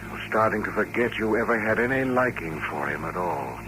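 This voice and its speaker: An American actor playing a lonely, quietly controlling mutant suitor in a 1950s radio drama, hesitant yet insistent and coaxing. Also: male voice, lowish voice, lively intonation, brisk. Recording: noisy vintage radio broadcast recording.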